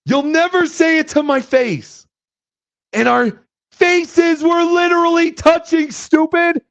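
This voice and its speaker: Brief: hoarse, very high-pitched braggart troll, slow drawling taunts, muffled lo-fi stream sound. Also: male voice, a bit bright, heavily acted. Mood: gloating. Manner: boastful, taunting, drawn-out delivery. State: hoarse, losing his voice. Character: brash shouting online troll who calls himself Daddy. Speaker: guest host. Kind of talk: live stream chat. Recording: dull lo-fi stream audio.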